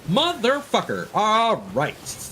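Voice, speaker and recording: A man's voice: high voice, huge pitch swings, slow, pushed and bright, a little hiss or hum. A man giving casual live commentary as a video game streamer, grumbling and swearing in exasperation as he talks.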